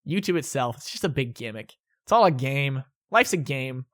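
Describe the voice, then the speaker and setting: A man's voice: mid-range voice, lively intonation, normal speed, a bit bright. A young man answering viewer questions in a casual, rambling vlog at home, often restarting his sentences. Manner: rambling, self-correcting, laid-back. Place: indoors at home.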